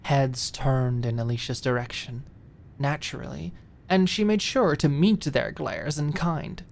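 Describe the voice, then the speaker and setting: A woman's voice: very deep voice, measured pace, vocal fry, a little mellow, slight background noise. A woman reading dramatic scripted narration as an audiobook narrator.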